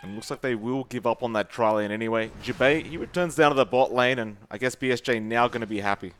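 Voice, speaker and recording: A man with an Australian accent, casting a competitive game match with brisk play-by-play and playful teasing of his co-caster. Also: medium pitch, a bit bright, slight background noise. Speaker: esports caster.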